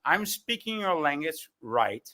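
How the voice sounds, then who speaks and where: A man's voice: on the high side, sing-song, a little slow, a crowd in the background. A man who is not a native English speaker, arguing in a heated live stream, stammering and sarcastic. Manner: stammering, sarcastic, repeats words. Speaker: guest in a live stream debate.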